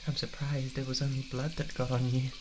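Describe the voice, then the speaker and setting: A British man playing an obsessive, murderous boyfriend in an intimate ASMR roleplay, coaxing softly and giggling, over the sound of water in a sink. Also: mid-range voice, little pitch movement, brisk, natural voice. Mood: soothing, possessive. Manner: soft coaxing murmur breaking into giggles. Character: obsessive, murderous yandere boyfriend, tender and unhinged. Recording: running tap water and sink noise. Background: water running and splashing in a sink.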